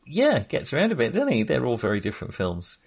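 A man co-hosting a casual film podcast, with a slightly husky voice and lively pitch movement. He talks hesitantly and in a self-deprecating way, thinking aloud as he goes. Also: fast talker.

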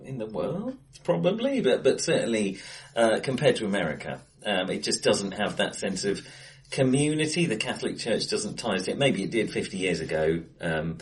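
A man co-hosting a casual film discussion, hesitant and self-correcting as he thinks aloud, recorded in an acoustically bright room. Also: on the low side, big pitch swings, normal speed. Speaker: film podcast co-host. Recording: echoey bright room, face to face.

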